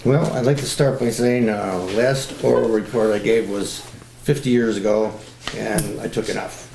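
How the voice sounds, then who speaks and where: A man reading a formal highway superintendent's report aloud at a meeting. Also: steady tone, moderate pace, gravelly. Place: indoor public meeting room. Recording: echoey meeting room, faint noise.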